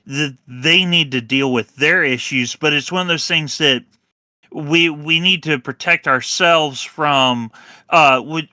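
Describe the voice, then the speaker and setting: A man on a panel pushing back on a point, halting and restarting often. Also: on the high side, bright, forceful tone, no room sound, studio quality. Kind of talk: heated panel discussion on a live stream.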